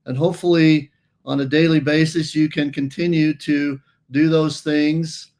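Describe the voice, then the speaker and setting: A man's voice: on the high side, flat delivery, a little slow, a little mellow. A man presenting a walkthrough of a wellness app, explaining step by step and often restarting phrases. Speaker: company presenter.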